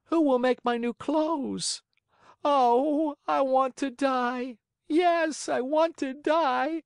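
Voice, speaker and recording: A storyteller voicing an emotional young wooden puppet boy in a high, childlike, pleading voice. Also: slow, darkish tone, no echo, clean studio sound. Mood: tearful, pleading. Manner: emotional, wailing, eager.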